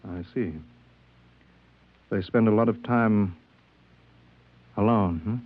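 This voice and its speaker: Smug radio-drama gentleman, muffled recording. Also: lowish voice. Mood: genial, probing. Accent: American. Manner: hesitant pauses with "uh", then insistent, pronouncing. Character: smooth, self-important, sinister male passenger, a collector of voices. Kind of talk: 1950s American radio drama acting.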